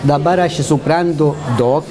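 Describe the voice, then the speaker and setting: A man speaking in halting, broken sentences as a non-native speaker. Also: on the high side, music in the background. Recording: busy crowd behind.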